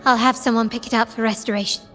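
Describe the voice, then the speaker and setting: A composed young heiress for a game. Her voice sits a little high and her phrasing is formal and deliberate. It rings in a reverberant space with music underneath. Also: steady tone, pushed and bright. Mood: composed, analytical. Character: composed, shrewd young heiress investigating a betrayal. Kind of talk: dramatic game dialogue.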